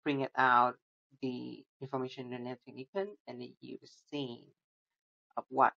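Halting man walking through code. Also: medium pitch, little pitch movement, vocal fry, pushed and bright. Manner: halting, many restarts and fillers, non-native phrasing. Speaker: student presenting a coding project. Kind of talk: screen-recorded code walkthrough. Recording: dull lo-fi sound.

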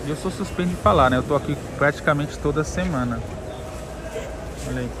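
A man's voice: on the high side, a little fast. A Brazilian man giving a casual, chatty walk-through as a vlogger at a market, talking informally and straight to viewers. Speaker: vlogger showing a plant market. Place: busy outdoor street market. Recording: noisy outdoor recording with street sounds.

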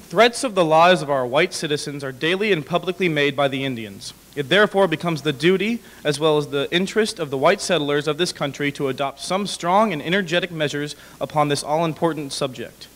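A young man reads historical papers aloud in a formal register. His voice sits a little high for a man, and the big room leaves a long reverb around every phrase from the podium microphone. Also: a little fast, few pauses, a bit bright. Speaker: dramatic reader. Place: large indoor hall with brick walls.

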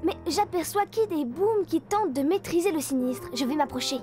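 An earnest cartoon little girl acting as a TV reporter. The voice is extremely high, and the announcing is self-important and mock-serious, over faint music. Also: expressive, normal speed. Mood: urgent. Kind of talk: French cartoon dubbing.

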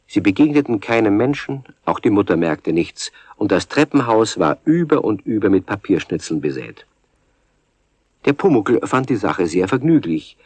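A man's voice: on the low side, a little mellow, breathy edge, no room sound. A man narrating a scripted children's radio story as the storyteller.

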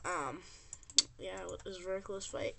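A gamer giving rambling, informal and excitable gameplay commentary as host of a gaming video. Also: mid-range voice, moderate pace, vocal fry. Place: indoors at a computer. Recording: background noise with keyboard clicks. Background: keyboard typing and mouse clicks.

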